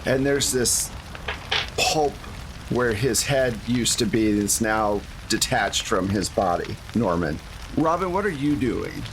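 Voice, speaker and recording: A man's voice: fairly low pitch, lively intonation, slow, noisy background. A man running a tabletop game, improvising narration and chatting casually and jokily with players. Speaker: game master.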